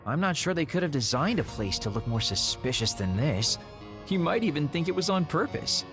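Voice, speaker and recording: A sly young assassin in an English anime game. His voice sits fairly high and swings in pitch with theatrical, teasing mock offence. Music plays behind him. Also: very animated, normal speed. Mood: smooth, teasing.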